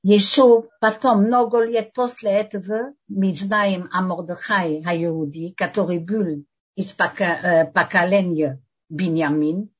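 An older woman whose first language is French, teaching a religious lesson and often pausing to search for words. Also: fairly low pitch, steady tone, slightly rough, muffled recording.

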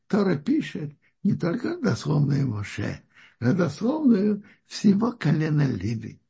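Man, religious teacher, very husky, gravelly fry, mellow dark tone, halting explanatory delivery. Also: fairly high pitch. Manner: halting, searches for words with frequent restarts. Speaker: Torah teacher. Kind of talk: religious lesson.

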